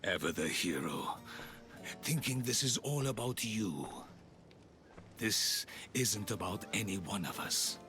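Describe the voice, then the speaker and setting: An actor playing an aging, zealous Persian leader of a secret order in a video game, speaking in a grandiose, deliberate manner. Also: male voice, on the low side, expressive, pushed and bright, soft background music, full character voice. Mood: solemn conviction. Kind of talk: video game cutscene acting.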